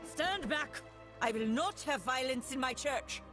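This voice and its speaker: A stern, devout preacher in a fantasy game. Her fairly high voice moves slowly and expressively, solemn and formal. Music swells behind her. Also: expressive. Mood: indignant. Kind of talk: dramatic game voice acting.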